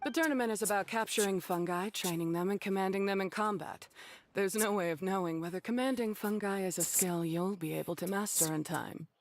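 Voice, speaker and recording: A woman's voice: mid-range voice, fairly quick, lightly acted. A woman playing a blunt, matter-of-fact, self-deprecating beast tamer in a video game. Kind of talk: English video game voice acting. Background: game music.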